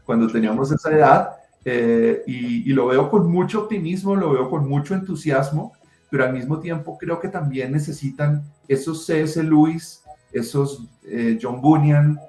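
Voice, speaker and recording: A middle-aged writer answering interview questions passionately, with many restarts. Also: male voice, a little high, moderate pace, dark tone, soft background music. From Colombia. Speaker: writer and guest. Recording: roomy echo.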